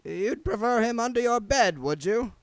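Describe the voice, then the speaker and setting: Storybook character: sly, feisty old male wizard, done by a male reader, high shifting pitch, coaxing and emphatic. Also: expressive, moderate pace, no echo. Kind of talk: audiobook character voice.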